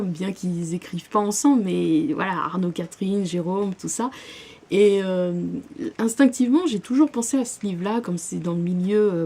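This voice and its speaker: Young woman rambling about her books, lots of ums and restarts, soft mellow voice. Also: mid-range voice. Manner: hesitant, many fillers and restarts. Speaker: book vlogger. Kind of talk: casual chat about her reading.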